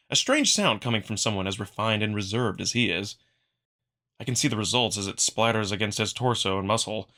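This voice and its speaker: A man giving an expressive, dramatic first-person narration of a visual novel, acting out the narrator's feelings. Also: on the low side. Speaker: narrator, amateur voice performer.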